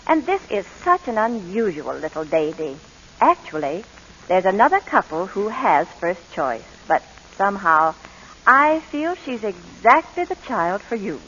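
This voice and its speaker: An actress playing a brisk, courteous but firm middle-aged orphanage inspector in a 1940s radio drama. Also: female voice, medium pitch, sing-song, fairly bright tone, a little hiss or hum. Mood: gently persuasive. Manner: composed, polite, businesslike. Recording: dull, lo-fi 1940s radio recording.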